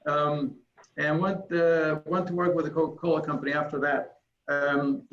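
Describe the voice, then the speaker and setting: A man from Sweden casually introducing himself on a group video call, with a halting delivery, in an echoey room. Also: medium pitch, gravelly, fairly bright tone, muffled recording. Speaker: executive search consultant, meeting participant.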